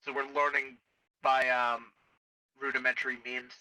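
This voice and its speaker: A man playing a blunt, matter-of-fact military medic in improvised sci-fi game roleplay, explaining things haltingly. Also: mid-range voice, level tone, sharp tone, poor audio.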